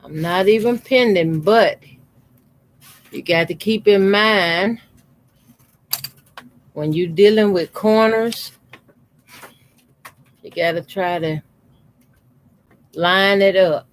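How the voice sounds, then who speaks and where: Slow-talking man in his fifties, sewing. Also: a little hiss or hum. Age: 50s. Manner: talks unhurriedly while sewing, deliberately keeping his voice lowered. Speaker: quilting channel host. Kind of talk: casual how-to demonstration.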